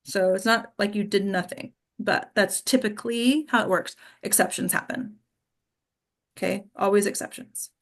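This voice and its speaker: A woman speaks as an acting coach in an informal class setting. Her delivery is direct and instructive, and she keeps checking that listeners follow with short tag questions. The pitch sits in a middle range for a woman. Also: normal speed. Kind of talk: casual teaching Q&A.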